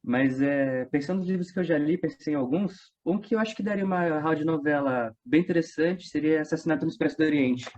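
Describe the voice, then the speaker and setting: A man's voice: a little high, fairly quick, few pauses, a little mellow. Dull lo-fi recording of a Brazilian man chatting. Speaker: interview guest. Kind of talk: casual chat. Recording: dull lo-fi sound.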